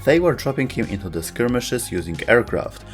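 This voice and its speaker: A man's voice: mid-range voice, big pitch swings, moderate pace. A man reading scripted narration for a video game lore explainer. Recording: music under the voice. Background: music.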